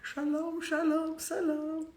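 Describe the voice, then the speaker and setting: A woman's voice: extremely high pitch, monotone, takes it slowly, background noise. A woman with an Israeli accent hosting a chatty livestream spiritual talk in an effusive, playful, rambling manner. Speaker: host of a livestream soul talk.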